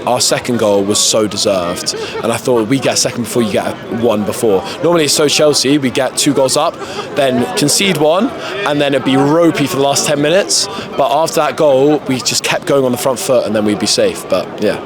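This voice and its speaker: Male football fan amid loud crowd. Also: mid-range voice, a little fast. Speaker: football fan being interviewed. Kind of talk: casual match analysis. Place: outdoors outside a stadium. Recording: loud outdoor crowd and traffic.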